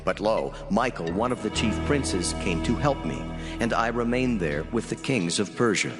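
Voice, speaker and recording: A man as a low-voiced heavenly messenger, vast reverb. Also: animated, natural voice. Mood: solemn, reassuring. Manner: solemn, measured, declamatory. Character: solemn heavenly male messenger delivering prophecy. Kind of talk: dramatized scripture reading. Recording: long hall reverb under clear music. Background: music.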